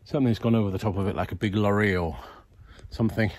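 A man's voice: fairly low pitch, fairly even intonation, fast talker, a little mellow. A man in his fifties giving an informal, wry, explanatory vlog tour of an old farm he is restoring, recorded on a handheld phone. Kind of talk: informal narrated video tour. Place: outdoors on farm land and inside stone barn. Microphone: handheld phone at arm's length. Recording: clear background noise, clicks.

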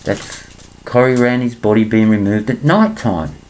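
An older man from England, speaking into a laptop webcam in a small room, rambling and clearing his throat between points. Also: fairly low pitch, moderate pace, a little mellow, slight background noise. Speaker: vlogger. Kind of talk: rambling conspiracy monologue to webcam.

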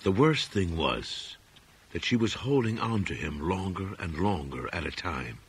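A male audiobook narrator with a lowish, husky voice reads a horror story. His delivery is dramatic and literary, with animated rises and falls in pitch. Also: unhurried.

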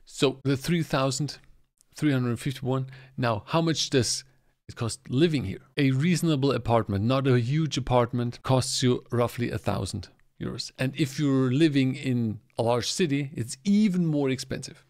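A man's voice: medium pitch, normal speed, a little mellow, dry recording. A man in his forties with a German accent, hosting a podcast and explaining things casually and conversationally into a close desk microphone. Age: 40s. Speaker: podcast host. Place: small furnished home office, indoors.

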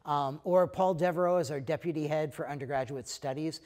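A middle-aged American man, head of an economics school, talking casually to prospective students in a relaxed way, with many fillers. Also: a little high, fairly quick. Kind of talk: recorded talk to prospective students. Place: lecture room, then plain backdrop indoors.

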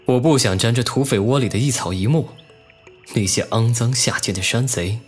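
A man's voice: medium pitch, moderate pace, faint music behind, close to an everyday voice. A man playing a proud, disdainful young official held captive and wounded in a Chinese period audio drama, speaking coldly. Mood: cold scorn. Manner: cold, haughty.

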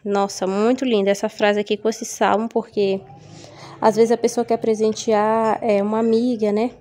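A Brazilian woman with a mellow, slightly hoarse voice from a cold chats casually to her viewers. She keeps asking little tag questions as she goes. She is recorded on a phone in a home kitchen. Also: mid-range voice, flat delivery, moderate pace. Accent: Brazilian Portuguese. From Maranhão, Brazil. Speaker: vlogger. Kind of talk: casual haul vlog. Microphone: phone camera held over table.

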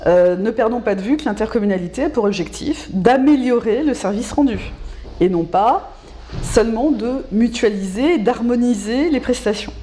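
A middle-aged woman explains things as an expert in an interview, in measured phrases with hesitant pauses. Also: fairly high pitch, warm tone, slight background noise. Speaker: local government specialist being interviewed. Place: small indoor office.

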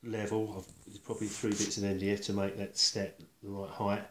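Older male woodworker, fairly low-pitched, unpolished and matter-of-fact, explaining his work aloud in a reverberant room. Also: flat delivery. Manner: halting, matter-of-fact, thinking aloud while working. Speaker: woodworker demonstrating his build. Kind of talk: casual step-by-step demonstration. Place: concrete-block workshop and house room. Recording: echoey room, faint noise, handling clicks.

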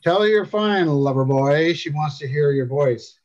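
A man's voice: fairly high pitch, expressive, measured pace, a little mellow, muffled recording. A man reading the part of a thuggish kidnapper henchman in a story, smug, taunting and threatening. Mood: mocking threat.

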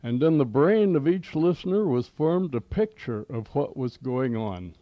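A man's voice: medium pitch, lively intonation, unhurried, no room sound, lo-fi sound. An American man in his eighties hosting old-time radio, with a folksy, theatrical announcer delivery and a nostalgic scripted style. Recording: home cassette tape with music.

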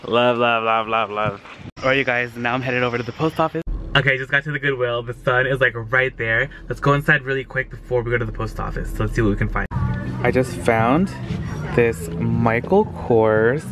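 A man in his thirties chats excitedly to his viewers as he vlogs on a handheld camera. His voice sits at an ordinary middle pitch. Music plays clearly underneath him. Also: fairly quick. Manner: chatty, excitable, addresses viewers directly. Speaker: vlogger. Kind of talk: casual vlog narration. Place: car, outdoor yard and thrift store.

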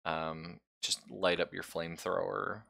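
A man's voice: low-pitched, flat delivery, a little slow, vocal fry, pushed and bright. A man casually narrating an online tabletop game as game master, in a joking, loose way.

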